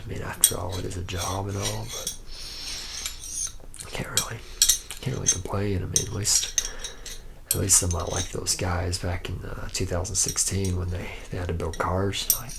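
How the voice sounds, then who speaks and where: A man's voice: deep voice, gravelly. A man playing a jaded, boastful lead robot mechanic in a rambling, drawling ASMR roleplay monologue. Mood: weary, resigned. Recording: noisy close recording with clinking metal.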